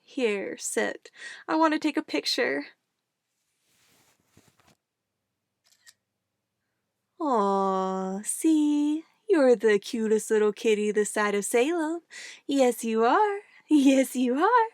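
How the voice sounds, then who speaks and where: Soft, creaky, possessive witch, acted by a woman. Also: on the high side, lively intonation, takes it slowly, no room sound, clean studio sound. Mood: gushing, adoring. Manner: cooing, coaxing, condescending sweet talk. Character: possessive young-sounding witch, sweetly menacing, doting on her familiar. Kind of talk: ASMR roleplay acting.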